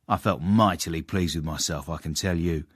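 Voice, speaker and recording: A man playing a cheeky, sly young servant and self-satisfied storyteller in a radio drama, husky and wryly deferential. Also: low-pitched, moderate pace, very noisy, lightly acted. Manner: wry, deferential with sly irony. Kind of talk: radio drama acting and narration.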